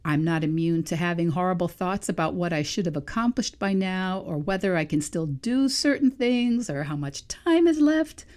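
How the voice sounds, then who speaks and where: Reflective woman in her sixties, lower pitch. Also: normal speed, hardly any pauses, darkish tone. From New England. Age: 60s. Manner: thoughtful, musing, self-questioning. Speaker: podcast host and vegan life coach. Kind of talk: solo reflective podcast monologue.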